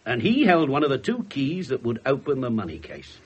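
An actor playing a wry, blunt English detective inspector in a radio drama, talking colloquially. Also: male voice, medium pitch, huge pitch swings, a little fast, breathy edge. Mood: wry, conversational. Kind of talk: 1970s British radio drama acting.

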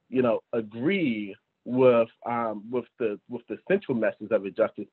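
A man in his thirties with a husky voice talks earnestly on an online panel. He often checks his points with a tag question and poses rhetorical questions. The sound is narrow and phone-like, from a video call. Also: lowish voice. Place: small indoor room, at a desk. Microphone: video call computer microphone.

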